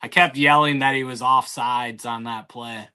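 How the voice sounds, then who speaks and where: Man, clear resonant voice, average pitch, casual joking chat, hesitant drawl. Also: steady tone, normal speed, pushed and bright.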